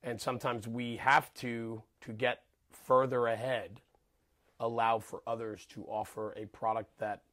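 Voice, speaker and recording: A man of ordinary pitch hosting a podcast in a studio. He rambles through loose, teasing banter, full of fillers and self-interruptions. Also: little pitch movement, unhurried, fairly bright tone. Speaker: podcast host. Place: studio with cameras.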